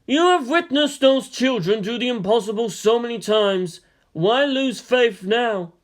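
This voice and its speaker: A man voicing the solemn, unshaken ghost of a dead strategist in a stately, measured way. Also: very high-pitched, expressive, pitched above the performer's own voice. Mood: solemn, urging.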